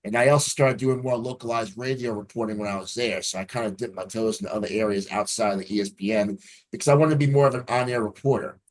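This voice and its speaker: A man's voice: lowish voice, fairly even intonation, fast, rough recording. A man in his forties from New Jersey, a radio news anchor telling his story as an interview guest in an upbeat, fluent way over a video call.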